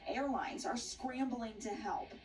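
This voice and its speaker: A woman's voice: fairly high pitch, slow, a little mellow, distant mic, faint music behind. A woman reading scripted narration as a television news reporter.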